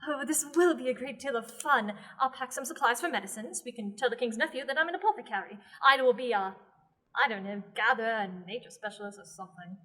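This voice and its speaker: A woman's voice: a little high, quick pace, bright, forceful tone. A young actress playing a playful, mischievous village witch who talks in a wry, teasing way. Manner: wry, teasing and thrill-seeking. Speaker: student actor in a radio play. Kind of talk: amateur radio play acting.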